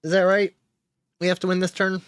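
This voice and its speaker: A man's voice: sits high, monotone, fairly quick, a bit bright, smooth, no echo, studio quality. A man giving casual gameplay commentary while playing a card game, thinking aloud, chuckling and cheering his wins.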